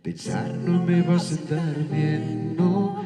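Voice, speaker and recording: A man's voice: on the high side, expressive, very slow. A man half singing, half speaking a comic song accompanied by guitar. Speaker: singer. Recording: echoey hall, loud guitar music. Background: plucked guitar music.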